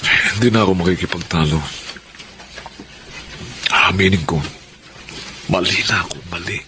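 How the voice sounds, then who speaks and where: An actor playing a contrite, insistent young ex-boyfriend in a radio drama, with a Filipino accent. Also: male voice, deep voice, steady tone, moderate pace, noisy background, lightly acted. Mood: remorseful, insistent.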